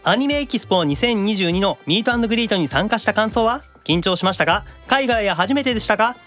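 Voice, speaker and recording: Radio host, man, Kansai accent. Fast talker with lively intonation, playful teasing comeback style. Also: high-pitched, soft background music. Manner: teasing straight-man retorts. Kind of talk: casual comedic radio chat.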